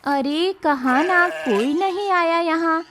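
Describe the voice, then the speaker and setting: A woman voicing a kind, brave young village woman in a cartoon story, earnest and expressive. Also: slow pace, background noise, heavily acted. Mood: defiant. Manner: earnest, expressive, high-voiced. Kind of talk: Hindi cartoon moral story dialogue.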